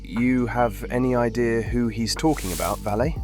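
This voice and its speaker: A man's voice: mid-range voice, steady tone, normal speed, music in the background, close to an everyday voice. An actor playing a cheeky, wary male rogue in a fantasy audio roleplay, talking in a casual, teasing and sceptical way. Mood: curious, offhand.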